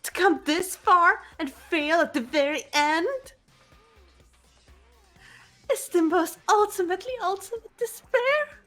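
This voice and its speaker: A woman's voice: very high-pitched, takes it slowly, pauses often, a bit bright, faint music behind, pitched above the performer's own voice, exaggerated voice. A woman voicing a manic teenage girl mastermind villain from a video game, theatrical, mocking and gushing. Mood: ecstatic, gloating. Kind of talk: fan voice acting of a video game script.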